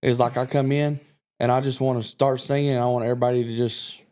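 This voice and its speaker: A man's voice: medium pitch, flat delivery, quick pace, a little mellow. A man from Kentucky, a guest country singer, chatting on a podcast in a confident, rambling way with many restarts. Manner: self-assured, rambling with restarts. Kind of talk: casual podcast chat.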